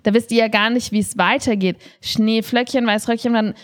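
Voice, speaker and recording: A woman chatting casually as a podcast co-host, telling stories in an animated way and slipping into quoted dialogue. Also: a little high, a little fast. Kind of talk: casual conversational storytelling.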